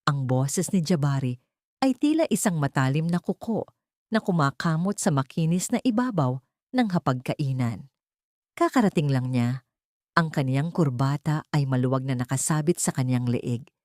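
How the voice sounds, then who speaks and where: A woman's voice: deep voice, lively intonation, moderate pace, darkish tone, dry recording, studio quality. A woman storyteller reading a scripted dramatic audio story.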